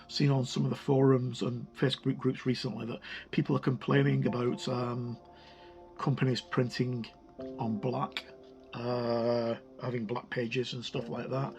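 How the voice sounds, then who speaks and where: A man giving a casual, informal review as he leafs through a book, halting as he goes and muttering the odd aside. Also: medium pitch. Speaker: tabletop game book reviewer. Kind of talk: casual flip-through review with read-out passages.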